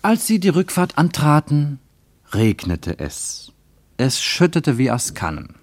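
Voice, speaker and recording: Animated male narrator, dry close sound. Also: a little high, slow pace, no echo. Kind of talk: scripted literary radio narration.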